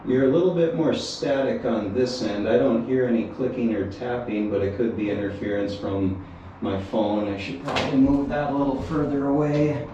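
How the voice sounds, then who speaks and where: A man's voice: medium pitch, moderate pace, dull audio. A man narrating a casual radio field test in a plain, matter-of-fact way, recorded inside a small metal tower. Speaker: reviewer testing walkie-talkie radios. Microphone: action camera. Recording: roomy echo, distant mic, faint noise.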